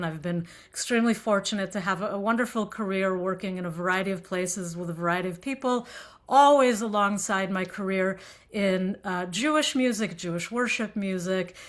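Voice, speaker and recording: Bright-voiced woman with a light Israeli accent. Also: medium pitch. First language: Hebrew. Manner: warm, chatty, many fillers and restarts. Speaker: synagogue musician giving a talk. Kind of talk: casual lecture to a group.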